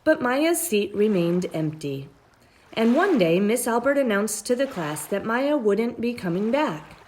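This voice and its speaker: Woman reading a kids book out loud, really slow and super expressive, drawn out phrases. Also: on the high side, slight background noise. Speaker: storybook reader. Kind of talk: expressive read-aloud of a children's story.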